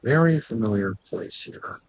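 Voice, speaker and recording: A man giving casual gameplay commentary in a hesitant, halting, musing way. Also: mid-range voice, expressive, slight background noise, low-quality recording. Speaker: video game streamer.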